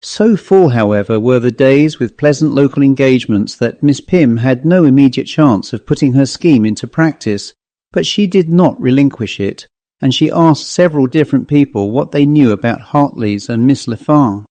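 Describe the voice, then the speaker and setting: Man narrating a story, mellow and measured. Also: medium pitch, lively intonation, no echo. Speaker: audiobook narrator. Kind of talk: scripted storytelling narration of a classic ghost story.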